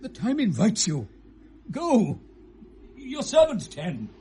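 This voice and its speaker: A man's voice: high voice, wildly swooping pitch, slow pace, mellow, exaggerated voice. An older British actor playing an elderly, pompous, fussy courtier and father full of maxims in a classic Shakespearean radio drama. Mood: brisk. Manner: pompous, sententious. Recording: hissy vintage radio drama recording.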